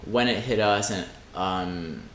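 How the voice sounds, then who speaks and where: A man of twenty-five chatting reflectively on a podcast, halting as he thinks aloud. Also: on the low side, fairly bright tone. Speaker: podcast speaker. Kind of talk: casual reflective podcast conversation. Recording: roomy echo with faint background noise.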